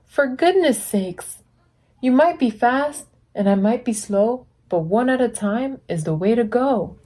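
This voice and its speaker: A woman's voice: a little high, expressive, unhurried, pitched above the performer's own voice. A woman voicing a small, friendly, sensible little truck in a storybook, earnest and polite. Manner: bright beeping, earnest and polite.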